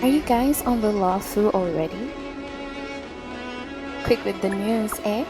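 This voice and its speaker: A woman's voice: medium pitch, measured pace. A woman livestreaming a story game, reacting casually with playful, gushing comments over game music.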